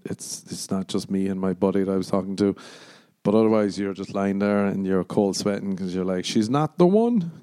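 A young Irish man hosting a podcast, rambling and swearing as he jokes, jumping into high squeaky voices for the punchlines. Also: warm tone, clear, resonant tone. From Ireland. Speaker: podcast host. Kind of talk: casual comedic monologue.

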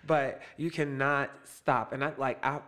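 A man in his twenties with a mid-range voice hosts a relaxed interview. He talks reflectively and encouragingly, often acting out his own inner thoughts. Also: medium pitch, a little slow. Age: 20s. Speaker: host. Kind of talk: casual interview chat.

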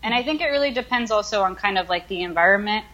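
A woman's voice: mid-range voice, expressive, quick pace, bright, forceful tone, highs cut off. A young woman in her twenties from the United States, talking casually as an interview guest, with many fillers as she thinks aloud. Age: 20s. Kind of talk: casual conversation.

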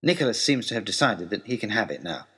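A man playing an earnest, eager young gentleman adventurer in an audio story, narrating a letter in a formal, wordy, wry way with deliberate pauses. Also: on the low side, very animated, husky, heavily acted. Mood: brisk, cheerful.

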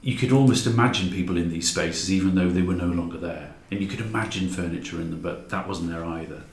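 Male podcast guest telling anecdotes casually, lowish voice, echoey room. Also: fast talker. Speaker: podcast guest, audio drama maker.

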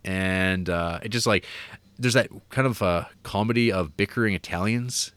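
A low-pitched man with a smooth, resonant voice, chatting loosely about a film on a podcast. He rambles, trails off, and drops wry, deadpan jokes, recorded dry and close to the mic. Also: moderate pace, dry recording. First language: English. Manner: rambling, filler-heavy, wry. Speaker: podcast co-host reviewing a film. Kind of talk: casual film review chat.

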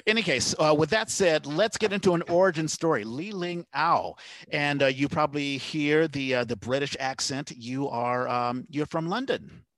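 A man's voice: on the high side, moderate pace, a bit bright, dry recording. Casual male podcast host, dry close sound. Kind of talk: casual interview chat.

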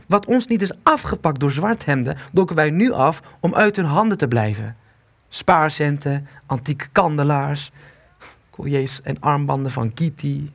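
Bitter, creaky-voiced man in hiding. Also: a little high, exaggerated voice. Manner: sarcastic, agitated. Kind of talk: Dutch radio play acting.

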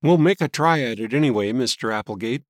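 A man's voice: medium pitch, a little fast, no room sound, studio quality, close to an everyday voice. A man voicing a polite, earnest, confident teenage boy detective in an audiobook. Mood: hopeful. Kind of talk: audiobook character voice.

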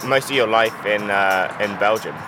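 Man, podcast host, low voice, filler-heavy questions, background music. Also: normal speed, rough recording. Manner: many fillers, loose and friendly questioning. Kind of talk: casual interview chat.

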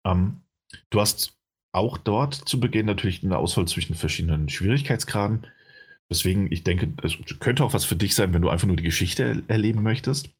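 Low, husky-voiced man, casual chat, rambling with fillers, game talk. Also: brisk. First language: German. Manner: rambling, many fillers and restarts. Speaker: game reviewer.

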